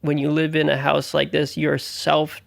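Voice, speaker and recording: A man's voice: a little high, monotone, moderate pace, creaky, no echo. A man reflecting thoughtfully in an interview. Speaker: resident of a collective house, interviewee.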